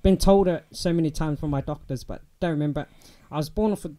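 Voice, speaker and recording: A young man with a New Zealand accent, chatting as a guest in a casual interview, relaxed and full of ums and restarts. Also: fairly high pitch, fast.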